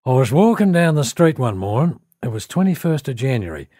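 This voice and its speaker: A man's voice: medium pitch, sing-song, fairly quick, dark tone, no room sound, studio quality, voice pushed up. A man voicing a proud, grizzled older Australian soldier spinning a folksy yarn for an audiobook. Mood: animated, determined. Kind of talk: audiobook character acting.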